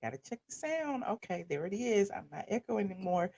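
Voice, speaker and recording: Hoarse lady chatting on her livestream, sassy and drawn out. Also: mid-range voice, big pitch swings, a little fast, mellow. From Atlanta area. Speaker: livestream host. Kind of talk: casual live-stream chat.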